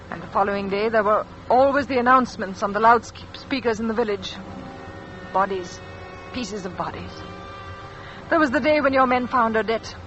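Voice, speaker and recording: A dull vintage radio recording with prominent music under the voice. An actress plays a haunted village wife, hushed and halting, confiding her dread in dramatic mid-century radio style. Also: on the high side, lightly acted. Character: frightened, haunted French village wife recounting her suspicions. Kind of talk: 1940s-50s radio drama acting, confessional narration.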